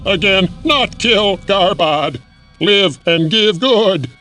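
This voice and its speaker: A man voicing a cowardly demon creature in a video game, pleading and bargaining in halting, broken speech. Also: high-pitched, expressive, music in the background. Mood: pleading, servile. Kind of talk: video game character acting.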